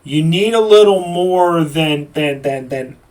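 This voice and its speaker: A man's voice: fairly high pitch, takes it slowly, a little mellow, far from the mic. Rambling sarcastic man reviewing a movie. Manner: rambling, sarcastic, many fillers and restarts.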